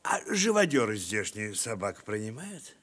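Tipsy old-school officer type, blustery n wheedling, stammers, voice all over the place, stagey radio play. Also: male voice, fairly low pitch, big pitch swings, unhurried, a bit bright, husky, full character voice. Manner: stammering, repetitive, blustering, coaxing. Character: tipsy, wheedling military gentleman pushing his unwanted dog on a guest. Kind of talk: theatrical audio play of a classic short story.

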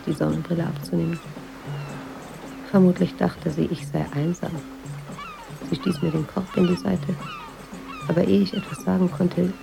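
An actress playing a reflective woman writer, narrating her memories in a measured, hushed, introspective way in a literary radio drama. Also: fairly low pitch, gravelly, heavily acted, poor audio. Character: reflective American woman writer in 1950s Tangier, recalling.

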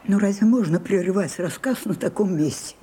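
An actress playing a grand, worldly old madam who coaxes with curious, theatrical questions in a radio play. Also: female voice, mid-range voice, mellow, lightly acted. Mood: eager, dramatic. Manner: coaxing, theatrical, drawn-out questions. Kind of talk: Russian radio play acting. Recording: muffled Soviet-era radio recording, some hiss.

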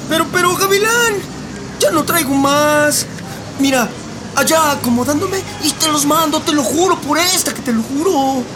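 A man's voice: very high-pitched, animated, moderate pace. Man playing young Mexican migrant, music behind. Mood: pleading, agitated. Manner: slangy, earnest. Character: young working-class Mexican migrant, anxious, pleading. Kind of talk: radio drama acting.

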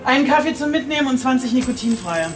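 An actress playing a composed, dry police chief inspector in a radio play, measured and businesslike. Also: female voice, high-pitched, animated, music in the background. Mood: friendly.

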